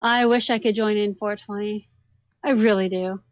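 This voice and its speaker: A woman's voice: mid-range voice, fairly even intonation, moderate pace, no room sound. A woman co-hosting a casual podcast, chatty and giggly, whose voice is going from a long day. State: losing her voice. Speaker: podcast co-host. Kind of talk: casual chat.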